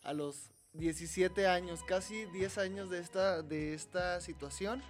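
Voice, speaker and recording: A man's voice: sits high, steady tone, unhurried, soft background music. A man, a podcast guest who rambles through stories and laughs as he talks. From Mexico. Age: 20s. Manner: rambling storyteller, laughs while talking. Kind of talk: casual podcast chat.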